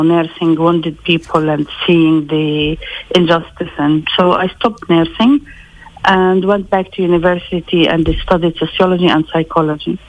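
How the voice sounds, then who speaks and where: Woman from lebanon, slow careful answers, low voice, muffled phone audio. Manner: measured, thoughtful, slow with pauses. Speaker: interviewee. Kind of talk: recorded interview. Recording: lo-fi phone line.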